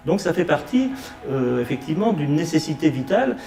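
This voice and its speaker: Male, middle-aged, halting interview delivery, echoey room with guitar music underneath. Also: a little high, very animated, vocal fry, dark tone. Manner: halting, reflective, searching for words. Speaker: cultural youth worker. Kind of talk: documentary interview, unscripted. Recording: roomy echo, music underneath.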